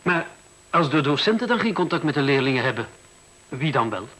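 An actor in a radio play playing a polite, sceptical male visitor who asks puzzled, measured questions. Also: fairly high pitch, very animated, pushed and bright, full character voice. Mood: politely sceptical. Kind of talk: radio drama acting. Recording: hissy old radio recording.

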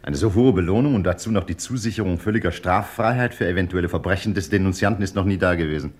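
A man's voice: low voice, fast talker, darkish tone, lightly acted. A man playing an easygoing, wry police commissioner in an old German radio crime drama, talking in a colloquial way. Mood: pleased, musing.

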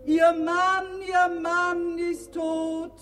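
A woman's voice: very high-pitched, flat delivery, extremely slow, fairly bright tone, soft background music, heavily acted. A woman playing a crafty, bold maidservant in a classic comedy radio play, scheming and theatrical. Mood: mock wailing. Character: crafty, bold maidservant staging mock grief.

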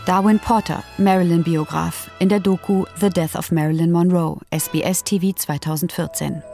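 A woman announcer with a low, mellow voice reads scripted narration. She is matter-of-fact, with faint music behind her. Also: steady tone, moderate pace, gravelly. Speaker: announcer of documentary sources.

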